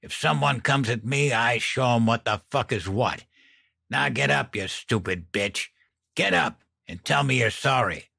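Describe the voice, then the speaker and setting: A male reader acts out a mean, drunken smoker in an audiobook. His voice is raspy and gravelly, with coarse slang snarled out in a bullying, sneering way. The sound is close and dry. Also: medium pitch, lively intonation, a little slow, sharp tone, dry recording, pitched above the performer's own voice, heavily acted. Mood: menacing. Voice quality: raspy, croaking. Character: violent, sneering, drunken smoker, man with criminal past.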